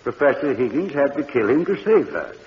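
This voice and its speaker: An actor playing a kindly old morgue keeper who speaks with the dead, in a soft, earnest, patient manner, in 1940s radio drama style. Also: male voice, medium pitch, very animated, a little fast, natural voice. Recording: dull old radio recording in a reverberant studio, faint hiss.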